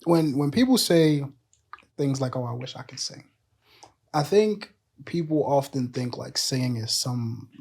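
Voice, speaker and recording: A man on a casual podcast, calmly explaining his views with everyday examples and a lot of "like" and "you know". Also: medium pitch, unhurried, vocal fry. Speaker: podcast cohost.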